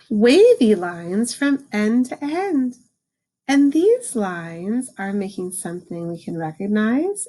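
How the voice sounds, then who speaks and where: A woman reading a picture book aloud to children, adding informal explanations and slowing down for the book's lines. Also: mid-range voice, darkish tone, low-quality recording. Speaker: read-aloud host, teacher for children.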